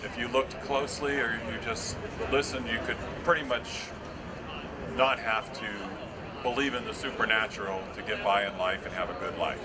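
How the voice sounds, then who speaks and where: A middle-aged man from Massachusetts giving a casual, chatty speech outdoors in a naturally loud voice. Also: mid-range voice, fairly even intonation, moderate pace, heavy background noise. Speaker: festival speaker. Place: outdoors by a street.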